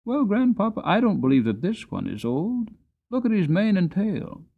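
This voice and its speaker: A man's voice: high-pitched, big pitch swings, moderate pace, vocal fry, phone-quality sound, pitched above the performer's own voice, full character voice. A man voicing an eager, kind-hearted young boy who pleads earnestly, in a dramatic audiobook reading. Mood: eager pleading.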